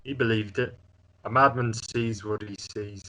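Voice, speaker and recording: A stern fantasy lord read by a man speaks gravely to his children in a table read. His voice sits at a middle pitch, bright and forceful, teaching with firm authority. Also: steady tone, a little slow, poor audio. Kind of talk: amateur script table read.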